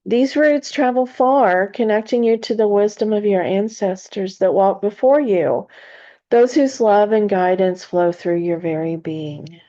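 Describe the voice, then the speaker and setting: A woman leading a guided meditation in a soothing narration style, with gentle, deliberate phrasing and long pauses. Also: medium pitch, dull audio.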